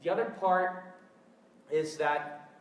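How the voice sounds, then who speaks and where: A young man whose first language is Spanish gives an earnest, hesitant talk to a live audience, with frequent pauses and fillers. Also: high-pitched, slight background noise. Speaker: event speaker. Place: event room with live audience. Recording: echoey room, mic at a distance.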